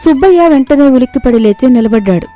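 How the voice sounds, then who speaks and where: A woman's voice: high-pitched, expressive, fast talker, mellow, soft background music. A woman reading scripted narration of a moral tale as a storyteller.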